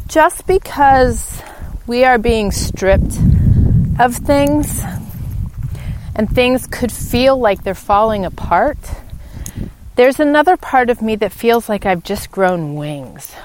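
A woman hosting a podcast in a reflective, unscripted monologue, pausing mid-sentence as she thinks, recorded outdoors on a walk. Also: on the high side, lively intonation, slight background noise. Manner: halting, pauses mid-sentence while thinking. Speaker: podcast host.

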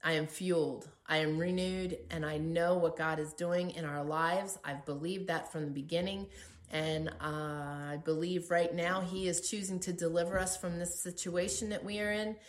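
A woman hosting a faith podcast, speaking in an earnest, emphatic way with deliberate repetition as she gives personal testimony and encouragement. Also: fairly low pitch, steady tone. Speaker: podcast host. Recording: roomy, echoey sound.

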